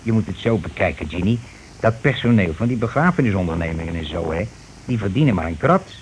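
Casting: scheming, bossy husband, streetwise and glib, in a radio play; noisy old recording. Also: male voice, low-pitched, lively intonation, fast talker. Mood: sly, explaining. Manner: glib, colloquial, self-justifying. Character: scheming, bossy working-class husband, glib petty chancer. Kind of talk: Dutch radio play acting.

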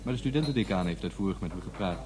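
Fast-talking defiant young student. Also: male voice, low-pitched, animated, a crowd in the background. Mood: insistent. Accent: Netherlands Dutch. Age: young adult. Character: young male left-wing student activist, defiant, aloof son. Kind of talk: radio play dialogue.

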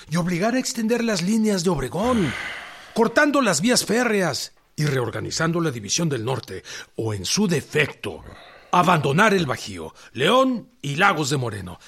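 A firm, formal Mexican general in a radio drama. His husky, gravelly voice is slightly high and very animated as he insists. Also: full character voice. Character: earnest, firm male army general and strategist.

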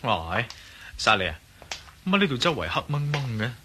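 A man's voice: mid-range voice, big pitch swings, moderate pace, bright, forceful tone, slight background noise. A man playing a curious, teasing Hong Kong tourist in a radio drama, casual and wry. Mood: grumbling, uneasy. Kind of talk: Cantonese radio drama acting.

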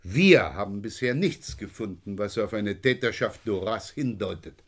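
An actor playing a polite but persistent, dryly sceptical police inspector in a German crime radio play, unhurried and given to hesitant repetitions. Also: male voice, lowish voice, animated, dry recording. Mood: dry, insistent.

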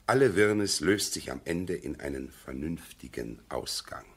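Casting: sententious male go-between, bass-deep and rough-edged, preachy, seventies radio play. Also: lively intonation, moderate pace, exaggerated voice. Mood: self-satisfied. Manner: sententious, preachy, emphatic phrasing. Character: fussy, moralizing male family go-between. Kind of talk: 1970s German radio play acting.

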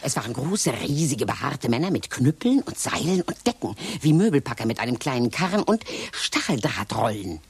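An actress playing an eccentric, scheming witch who mutters over her potions in a radio play, her voice lurching from low grumbles to shrill highs. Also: big pitch swings, normal speed, hardly any pauses, slightly rough, dry recording, full character voice. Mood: rattled. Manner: muttering, swooping wildly in pitch, cackling.

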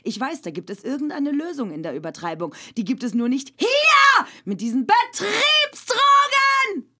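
A woman's voice: high voice, big pitch swings, moderate pace, heavily acted. An actress playing a provocative, declamatory woman given to ranting, in a stylized radio drama. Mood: frantic shouting. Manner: declamatory, forceful, intellectual jargon.

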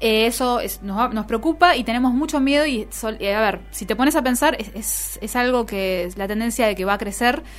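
Radio host, female, Argentine accent, higher-pitched voice, informal and chatty, music underneath. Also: a little fast, few pauses. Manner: informal, joking with co-hosts. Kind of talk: casual radio chat about the week's news.

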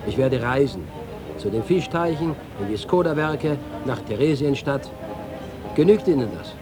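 An actor playing an ageing, nostalgic and proud reporter home from exile, speaking expressively in a literary radio-feature manner. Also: male voice, a little high, lively intonation, normal speed, lightly acted. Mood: proud, assured. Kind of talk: radio feature dramatic monologue.

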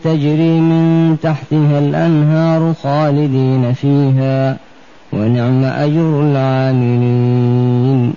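Man, slow steady scripture chant, very dark and level voice, lo-fi radio sound. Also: medium pitch, slight background noise. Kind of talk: Quran recitation.

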